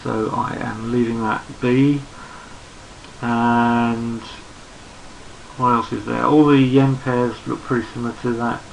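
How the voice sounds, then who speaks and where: A hoarse man who is losing his voice, talking through market charts in an unhurried, think-aloud way and often repeating words as he goes. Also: medium pitch, level tone, slight background noise, rough recording. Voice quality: gravelly. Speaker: trading analyst. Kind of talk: screen-recorded market chart commentary.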